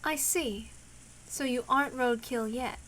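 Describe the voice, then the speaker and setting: A woman voicing a poised, whimsical woman from a visual novel, speaking formally and with arch, unhurried politeness. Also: sits high, a bit bright, low-quality recording. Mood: cool, composed. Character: poised, whimsical woman, coolly teasing a stranger. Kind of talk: visual novel read aloud.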